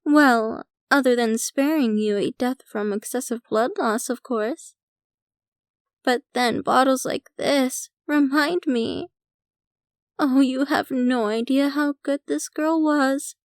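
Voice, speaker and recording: A woman's voice: high voice, slow pace, clear, resonant tone, no echo, clean studio sound. A woman playing a possessive, rich yandere vampire girlfriend in an ASMR roleplay, speaking to the listener in a theatrical, doting, gushing manner. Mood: admiring, chatty. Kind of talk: ASMR roleplay, scripted intimate monologue to listener.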